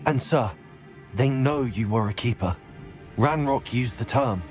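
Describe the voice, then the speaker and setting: A young man acting as a determined wizard student in a video game, polite, measured and earnest. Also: medium pitch, darkish tone, soft background music, lightly acted. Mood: earnest, concerned.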